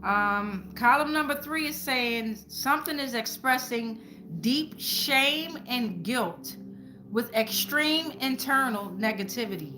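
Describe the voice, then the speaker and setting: A woman's voice: on the high side, lively intonation, takes it slowly, music in the background. A woman host giving an informal spiritual tarot reading to viewers, speaking colloquially and repeating phrases like incantations. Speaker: tarot reader and channel host.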